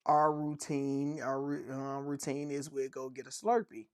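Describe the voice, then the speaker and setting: A man's voice: medium pitch, steady tone, unhurried, a little mellow, no room sound, studio quality. A man co-hosting a casual podcast, playfully telling dad jokes and laughing at them. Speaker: podcast co-host.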